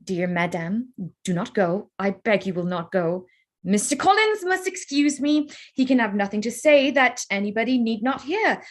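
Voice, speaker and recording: An actress playing a spirited young gentlewoman in a staged reading of a period drama, polite, formal and firm. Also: fairly high pitch, very animated, moderate pace. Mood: anxious, pleading. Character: spirited, frank, self-possessed young gentlewoman.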